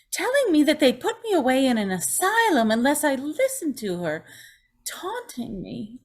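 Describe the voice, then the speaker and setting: Casting: actress for gentle young woman in torment, high and very animated, frightened, pleading, old-time radio melodrama. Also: full character voice. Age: young adult. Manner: pleading, tremulous, dramatic.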